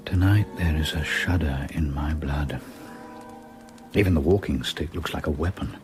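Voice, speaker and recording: An actor playing a courteous, uneasy older English solicitor in a radio drama, polite, formal and measured. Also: male voice, very deep voice, over music. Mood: brooding, uneasy. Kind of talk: radio drama acting with brooding narration.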